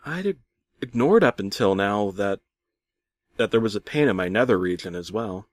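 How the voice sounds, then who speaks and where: A man narrating a comic first-person horror tale as a storyteller, theatrically shifting between hushed asides and loud exclamations. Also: fairly low pitch, lively intonation, normal speed, no echo. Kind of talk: dramatic first-person creepypasta narration, comedic.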